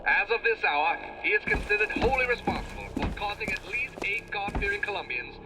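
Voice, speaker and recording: A man's voice: sits high, very animated, fairly quick, over music. An actor playing a formal male news announcer in a video game, delivering a dramatic bulletin. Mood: grave.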